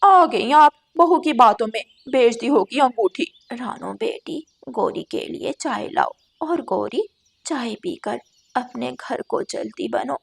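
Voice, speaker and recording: A woman voicing a sharp-tongued mother-in-law bird with a blunt, scolding manner in a cartoon story. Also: fairly high pitch, lively intonation, slow pace, vocal fry, husky, exaggerated voice. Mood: curt.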